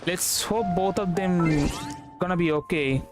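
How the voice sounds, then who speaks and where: A woman's voice: lowish voice, measured pace, music in the background. A woman from India streaming a game, giving informal, muttered reactions as casual commentary.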